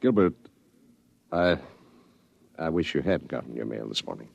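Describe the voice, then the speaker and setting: Gravelly, hesitant orchestra manager. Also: male voice, a bass voice, expressive, moderate pace, exaggerated voice. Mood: regretful. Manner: hesitant, soft-spoken, apologetic. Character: middle-aged male orchestra manager, kindly, regretful. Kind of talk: 1950s radio drama acting. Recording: muffled 1950s radio recording.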